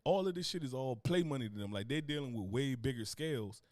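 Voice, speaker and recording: A man's voice: medium pitch, lively intonation, fast talker, darkish tone, no echo. Laid-back, profane man rambling on a podcast. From Newark, New Jersey. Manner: loose, profane, rambling with laughs. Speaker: podcast co-host. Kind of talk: casual chat.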